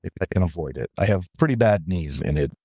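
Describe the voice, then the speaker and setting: Lively low-voiced man telling stories. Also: quick pace, no room sound, studio quality. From interior British Columbia, Canada. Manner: animated storyteller, acts out quoted talk. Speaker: guest. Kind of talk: casual podcast interview.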